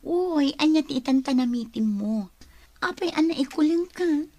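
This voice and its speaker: Actress as evasive young mother, high voice, Filipino accent, radio drama delivery. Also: animated, normal speed, dark tone. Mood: feigned surprise, indignant. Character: evasive young mother denying she gave birth.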